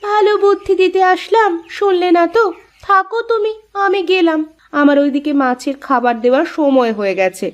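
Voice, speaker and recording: A woman's voice: very high-pitched, normal speed, highs cut off. A woman voicing a scornful, boastful young village sister-in-law in a cartoon, taunting and accusing. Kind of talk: cartoon voice acting.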